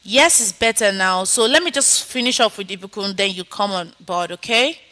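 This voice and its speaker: A woman's voice: mid-range voice, moderate pace, bright, forceful tone. A woman teaching an online IELTS speaking lesson, coaching students and then running a mock exam. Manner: coaching, encouraging, repeats "okay?". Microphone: video call.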